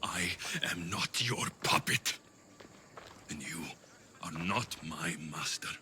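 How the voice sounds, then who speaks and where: An actor playing a bitter, menacing young warrior in a video game, proud and wounded, with an intense, taunting delivery. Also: male voice, low voice, slow pace, bright, forceful tone, slight background noise, exaggerated voice. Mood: low defiance. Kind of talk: video game cutscene acting.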